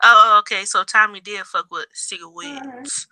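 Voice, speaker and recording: A woman's voice: medium pitch, animated, measured pace, pushed and bright, no echo, low-quality recording. A woman hosting a casual podcast chat, playful and slangy, cutting in with repeated words. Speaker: podcast host.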